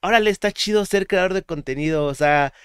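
Laid-back Mexican podcast host, slangy. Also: male voice, sits high, brisk, smooth, no echo, clean studio sound. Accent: Mexican Spanish. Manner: relaxed, slangy, many fillers. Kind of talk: casual interview chat.

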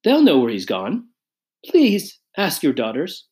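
A woman voicing a haughty, agitated mother in a storybook, with a sharp, imperious manner. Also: low-pitched, huge pitch swings, measured pace, pitched above the performer's own voice, heavily acted. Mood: anxious, distraught. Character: haughty, frantic, shouting upper-class mother.

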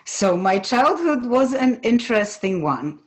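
A woman from Poland, a native Polish speaker, telling her personal story as an interview guest in an earnest, measured, reflective way. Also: on the high side, sing-song.

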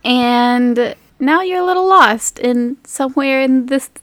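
A woman's voice: sits high, unhurried, a bit bright, no echo. A woman acting as game master, narrating a tabletop game in a casual, conversational way.

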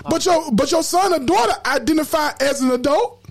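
A woman's voice: high voice, lively intonation, brisk. A woman hosting a podcast in casual, colloquial talk, emphatic and preachy, repeating words when fired up. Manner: colloquial slang. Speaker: podcast host.

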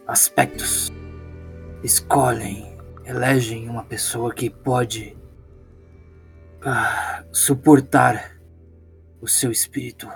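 A Brazilian man playing a grave, reverent storyteller in a tabletop roleplay, speaking haltingly of ancient things. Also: medium pitch. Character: solemn male storyteller awed by ancient legends.

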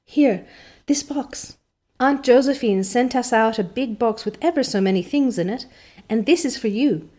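A woman voicing an excited young girl who speaks eagerly and breathlessly, reading a storybook aloud. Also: a little high, moderate pace, voice pushed up.

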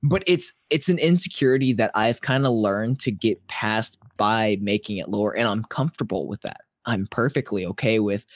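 This is a man co-hosting a casual podcast, his voice set low on purpose. He talks through his thoughts in long, earnest sentences. The sound is close and dry. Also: no room sound. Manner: deliberately lowers his naturally high voice; reflective, thinks aloud in long sentences. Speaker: podcast co-host.

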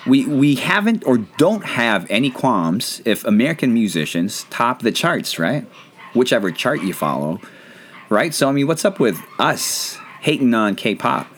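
Animated rambling Filipino man. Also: medium pitch, measured pace, a little hiss or hum. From Philippines. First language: not English. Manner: rambling, self-deprecating, animated. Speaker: podcast host. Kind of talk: casual chat.

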